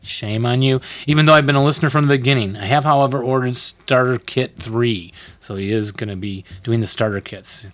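A man's voice: medium pitch, a little fast, hardly any pauses, a bit bright, no echo. A man co-hosting a casual podcast, easygoing, reading letters and dropping teasing quips. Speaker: podcast co-host.

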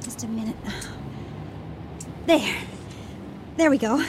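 High-voiced, fearful, pleading wife. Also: female voice, slow pace, noisy background. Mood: concerned. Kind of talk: radio drama acting. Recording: 1980 radio drama with prominent music underneath.

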